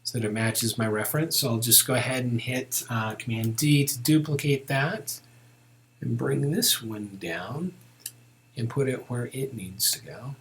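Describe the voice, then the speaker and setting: Casual man teaching software step by step. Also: medium pitch, creaky, a little hiss or hum. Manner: informal, thinking aloud while working. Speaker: software tutorial instructor. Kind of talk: casual step-by-step screen-recording tutorial.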